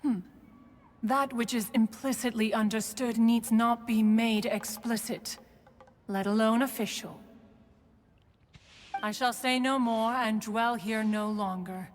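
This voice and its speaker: A woman's voice: a little high, steady tone, takes it slowly, long pauses, a little hiss or hum. An actress playing a haughty, ancient female bird adeptus in a video game, speaking in pompous, archaic, formal language. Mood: aloof, imperious. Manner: pompous, archaic formal speech, refers to self as "one". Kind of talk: theatrical video game character acting. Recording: long hall reverb, game music behind.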